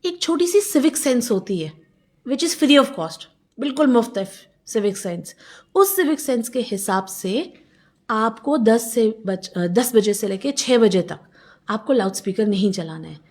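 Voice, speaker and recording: Female interviewee, fairly high voice, argues a civic complaint emphatically with pointed rhetorical questions. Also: lively intonation, measured pace, a little mellow. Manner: emphatic, argumentative, rhetorical questions, mixes in English phrases. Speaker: local resident interviewed about a civic complaint. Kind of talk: street interview, airing a complaint.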